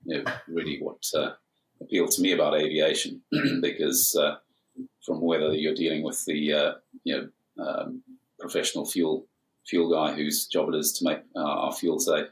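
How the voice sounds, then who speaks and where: A man's voice: very deep voice, flat delivery, normal speed, low-quality recording. A man in his forties from Zimbabwe, an airline captain talking as a guest in a casual chat, with many hesitant fillers.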